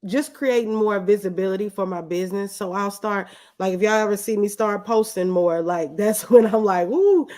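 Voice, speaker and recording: A woman's voice: medium pitch, steady tone, normal speed, hardly any pauses, a little mellow. A woman speaking as an interview guest, telling personal stories in a casual, conversational way. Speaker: interview guest, small business owner.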